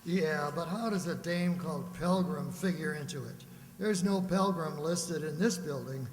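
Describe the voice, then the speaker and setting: Man playing a brisk questioning police lieutenant, higher-voiced, for a radio mystery in a reverberant hall. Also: lightly acted. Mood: skeptical, pressing. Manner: plain-spoken, pressing. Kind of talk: detective radio play acting.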